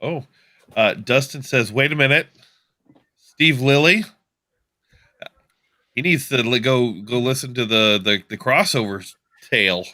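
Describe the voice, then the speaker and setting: A man's voice: medium pitch, unhurried, pauses often, bright, forceful tone, dry recording, clean studio sound. A man on a podcast panel, chatting casually as a game developer. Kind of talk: casual livestream chat.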